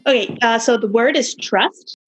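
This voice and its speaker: A woman's voice: on the high side, moderate pace, music in the background. A woman guest on a casual podcast, talking openly with frequent laughs and self-deprecating humor. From United States.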